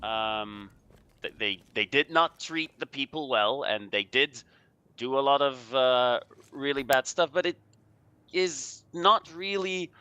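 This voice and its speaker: A man's voice: medium pitch, slow pace, pushed and bright, no echo, dull audio. A man gives casual commentary on history, hedging and thinking aloud as he goes. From Belgium. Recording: clearly noisy background.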